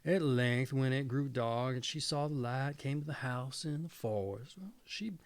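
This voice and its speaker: A man's voice: medium pitch, moderate pace, no room sound. A man reading a fairy tale aloud expressively as a storyteller.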